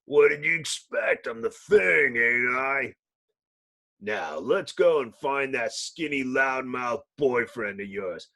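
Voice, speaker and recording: A man's voice: mid-range voice, animated, slow pace, pushed and bright, heavily acted. A man voicing a cocky male monster brawler, blunt and boastful, in a dramatic comic-book reading. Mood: cocky, gruff. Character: gruff, cocky male rock-bodied brawler, the Thing.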